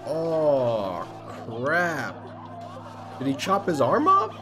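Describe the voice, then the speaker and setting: A young man talks slowly over a desk mic in his poster-covered bedroom, his pitch leaping wildly between gasps. He blurts exclamations and laughs as he reacts. Music plays clearly behind him. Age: young adult. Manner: excitable, swearing, exclaiming and laughing. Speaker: anime reaction streamer. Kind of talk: live reaction commentary.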